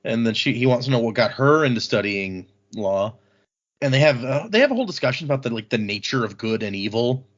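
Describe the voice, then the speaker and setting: A man's voice: medium pitch, expressive, brisk, dull audio. A man hosting a podcast, recapping a book in a casual, informal way. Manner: informal, acts out quoted dialogue briefly. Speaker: podcast host.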